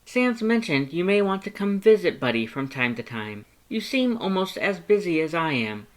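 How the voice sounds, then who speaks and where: Casting: a narrator playing an earnest, warm-hearted male skeleton for an audiobook, pitched well up, loud and theatrically emphatic. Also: sing-song, normal speed, close to an everyday voice. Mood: eager, friendly. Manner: emphatic, exclamatory, theatrical delivery. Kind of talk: character voice in fan fiction audiobook.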